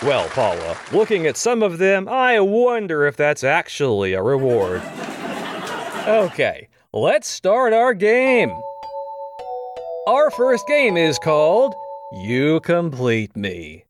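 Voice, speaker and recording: An actor playing a slick, wry television game show host, delivering polished hosting patter. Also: male voice, a little high, huge pitch swings, slow, over music, full character voice. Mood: slick, teasing. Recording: noisy studio crowd behind.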